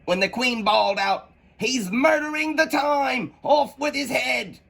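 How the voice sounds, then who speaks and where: A man voicing an eccentric, touchy storybook gentleman in a slow, theatrical, drawn-out way. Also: very high voice, vocal fry, pitched above the performer's own voice, full character voice. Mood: dramatic, aggrieved.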